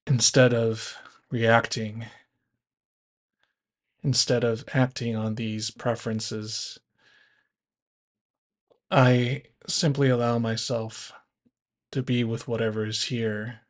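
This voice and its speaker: Slow, even-toned male meditation guide. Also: medium pitch. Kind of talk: guided body scan meditation narration.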